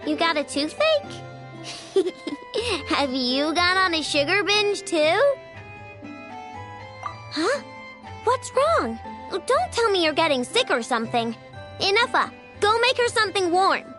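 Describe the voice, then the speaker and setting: A woman voicing a cheeky, excitable young girl inventor in a video game, casual, bossy and expressive. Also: very high-pitched, takes it slowly, long pauses, soft background music, heavily acted. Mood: curious, bossy.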